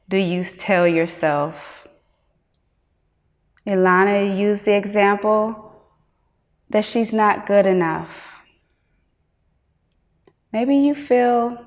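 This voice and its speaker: A woman teacher guiding a meditation, giving measured instructions with long pauses. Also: mid-range voice, darkish tone. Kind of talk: guided meditation. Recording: long hall-like reverb.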